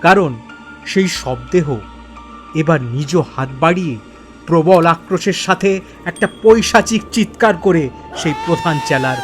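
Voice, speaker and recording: A man giving a dramatic first-person narration of a horror story, with suspenseful, deliberate phrasing. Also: on the high side, soft background music.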